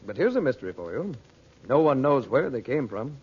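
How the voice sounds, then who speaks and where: Commanding magician in dull vintage radio. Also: male voice, medium pitch, sing-song, brisk, breathy edge. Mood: genial. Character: calm, commanding magician uncle, kindly but secretive. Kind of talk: old-time radio adventure serial acting.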